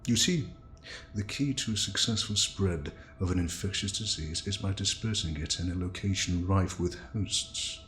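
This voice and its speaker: An actor playing a cold, fanatical scientist villain who speaks with menacing deliberation and a gravelly creak in an audio drama. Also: male voice, low voice, normal speed, fairly bright tone, faint music behind. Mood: smug, taunting. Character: cold fanatical male scientist villain bent on culling humanity. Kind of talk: audio drama monologue and dialogue.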